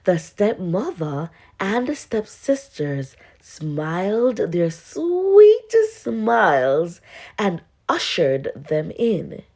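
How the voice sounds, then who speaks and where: A woman reading a children's story aloud as scripted narration, expressive and with dramatic pauses. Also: fairly low pitch.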